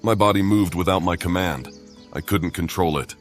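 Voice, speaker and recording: An actor playing a calm, principled paladin adventurer in a fantasy game, speaking plainly and in a measured way. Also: male voice, low voice, soft background music, close to an everyday voice. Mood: calm, firm.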